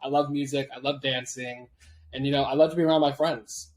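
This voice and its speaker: A man in his late twenties from Washington State, a guest talking over a video call, laid-back and quick to laugh. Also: medium pitch, fairly even intonation. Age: 20s. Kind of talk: casual interview chat.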